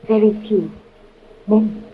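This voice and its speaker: Casting: actress for femme fatale foreign agent, cool, formal, teasing, calmly dangerous, old radio serial with dull noisy sound. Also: female voice, mid-range voice, lightly acted. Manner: formal, measured, coolly teasing. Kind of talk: old-time radio adventure acting.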